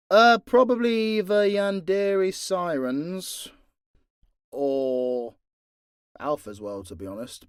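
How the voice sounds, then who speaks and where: A British man talks off the cuff as he reads out and answers questions. His voice sits at a medium pitch, and the recording is dry, with no room sound. He speaks informally and bluntly, swearing freely as he goes. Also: no room sound. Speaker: audio creator and voice actor. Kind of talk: casual unscripted Q&A, reading and answering questions.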